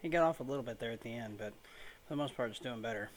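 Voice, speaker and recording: A man giving casual, low-key let's play commentary at home, keeping his volume down. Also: rapid-fire, slight background noise, poor audio. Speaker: gaming video host. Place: room at home.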